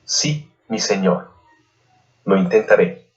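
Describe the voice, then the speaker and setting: A man's voice: sits low, animated, takes it slowly, muffled recording. A man voicing an eager, respectful young Aztec student scribe answering his lord, as in an audiobook.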